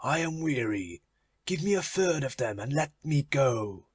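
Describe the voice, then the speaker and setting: Man playing Death, a husky, weary, insistent spectre. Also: medium pitch, lively intonation, a little slow. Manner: solemn, archaic storybook speech.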